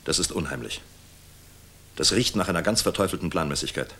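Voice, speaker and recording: An actor in a 1960s German radio drama playing a weary, wry middle-aged general with a gruff, fatherly manner. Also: low-pitched, lively intonation, a little fast, fairly bright tone, exaggerated voice. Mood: weary brooding.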